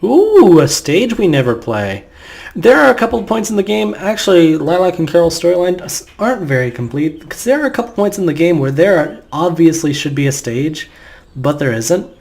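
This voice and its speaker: A man's voice: a little high, sing-song, moderate pace, creaky, darkish tone. A man giving casual, rambling commentary over a video game playthrough in an offhand way. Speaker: gamer narrating a playthrough. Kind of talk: casual let's play commentary.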